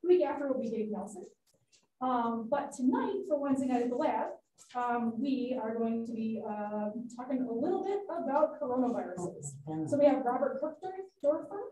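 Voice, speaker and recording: A woman hosting a public science event, giving announcements and introducing the speaker in a relaxed, informal way. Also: sits high, moderate pace. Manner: informal, lots of fillers. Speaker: event host. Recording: roomy hall, distant mic, light noise.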